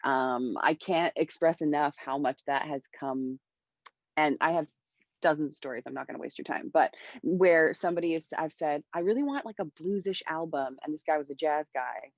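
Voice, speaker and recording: A woman's voice: sits low, normal speed, no echo, lo-fi sound. A woman in her thirties giving mentoring advice on a video call, talking with energy and many hand gestures. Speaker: music mentor. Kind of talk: panel talk on recording. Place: home room with bright wall. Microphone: wireless earbuds on video call.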